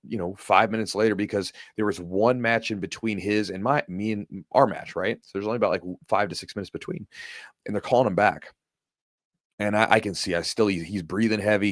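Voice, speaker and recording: A man with a low voice tells a story in easygoing podcast chat, stumbling and repeating words as he goes. The sound is dry and close, with no room echo. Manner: conversational, repeats words, lots of "you know". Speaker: podcast host and jiu-jitsu coach. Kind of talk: casual storytelling podcast talk.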